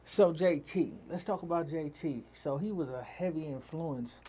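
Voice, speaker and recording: A man's voice: fairly high pitch, normal speed, warm tone, slightly rough. A man hosting a casual interview show, introducing his guest and putting questions to him.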